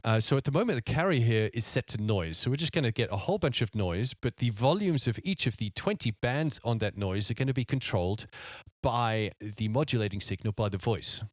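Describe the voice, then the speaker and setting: A man's voice: medium pitch, expressive, brisk, no room sound. A man hosting a music production tutorial, explaining step by step in an informal way and thinking aloud.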